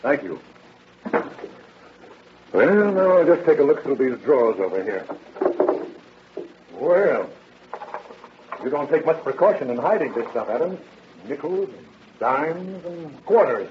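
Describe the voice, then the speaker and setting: An actor playing a brisk, confident male police detective, pressing and wry, in a 1930s-style radio crime drama. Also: on the high side, very animated, background noise. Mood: smug, wry. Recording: dull old radio recording.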